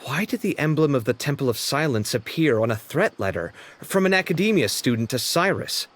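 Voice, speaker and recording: A man's voice: mid-range voice, expressive, fairly quick, close to an everyday voice. A man voicing an easygoing young male companion in a video game, talking in a casual, thoughtful way. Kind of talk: game voice acting.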